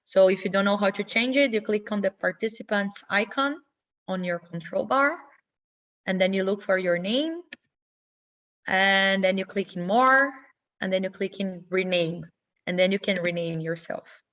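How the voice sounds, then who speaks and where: A woman's voice: mid-range voice, moderate pace, a bit bright, muffled recording. A young Brazilian woman hosting an online info session over a video call, explaining steps in a friendly, instructive way with small slips. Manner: friendly, instructive, non-native English with slips. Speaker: webinar host.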